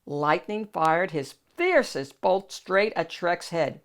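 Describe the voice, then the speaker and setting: A woman's voice: fairly low pitch, expressive, slow pace. A woman hosting a children's read-aloud, narrating a picture book expressively.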